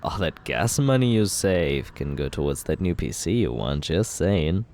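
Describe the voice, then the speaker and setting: A man's voice: low voice, very animated, unhurried, a little mellow, slight background noise, heavily acted. A young man playing a cocky male villain who courts the listener in an ASMR roleplay, teasing and laid-back as he justifies himself.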